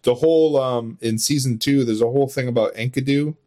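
A man's voice: medium pitch, fairly even intonation, brisk, warm tone. A man talking casually and off the cuff as a guest on a podcast interview. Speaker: podcast guest, audio drama creator and voice actor. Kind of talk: casual unscripted interview chat.

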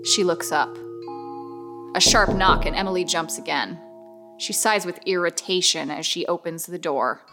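A woman reading scripted horror narration in a measured, suspenseful way. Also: on the low side, music in the background.

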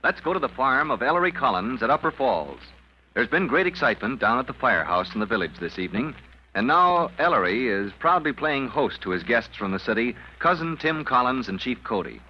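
Guy doing a dramatic old-time radio announcer, big swoops in pitch, muffled 40s recording. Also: big pitch swings, fairly quick, slight background noise, lightly acted. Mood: narrating. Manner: dramatic, declamatory. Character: dramatic male announcer of a firefighting adventure serial. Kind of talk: 1940s radio serial announcing. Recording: dull 1940s radio recording, music behind.

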